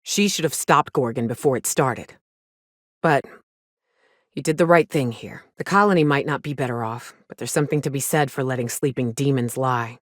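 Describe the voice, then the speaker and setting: A woman's voice: a bass voice, normal speed, no room sound, studio quality. A woman playing a weary, cynical but caring frontier woman in a video game, blunt and plainspoken with folksy, sweary talk. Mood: resigned, disapproving. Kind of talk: video game character dialogue.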